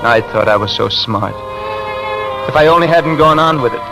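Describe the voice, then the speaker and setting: An actor playing an earnest, wounded ex-con husband in a 1950s American radio noir, with urgent, clipped slang. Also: male voice, medium pitch, animated, normal speed, over loud music, natural voice, low-quality recording. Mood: regretful. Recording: hissy vintage radio recording.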